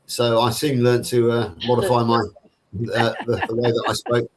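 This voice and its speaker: A man's voice: mid-range voice, completely flat delivery, normal speed, noisy background. Chatty man with a Southern English accent. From Somerset, England. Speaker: panel participant on a livestream. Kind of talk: casual live chat, answering viewers' questions.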